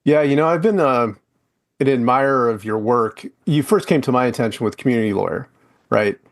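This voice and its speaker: A man's voice: medium pitch, brisk. Man, podcast host, casual interview chat, rambling with fillers. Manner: chatty, loose, many fillers and restarts.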